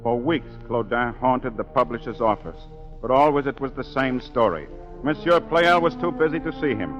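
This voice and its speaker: Male actor as grave storyteller, medium pitch, measured dramatic delivery, vintage radio drama, prominent music behind. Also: animated, highs cut off, natural voice. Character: grave male opera singer recounting a tragic past. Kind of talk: 1940s radio drama narration.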